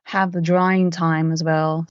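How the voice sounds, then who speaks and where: Low-voiced woman, muffled audio. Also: flat delivery, slow pace, dry recording. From Japan. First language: Japanese. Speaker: interview guest, miniature artist. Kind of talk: casual interview chat.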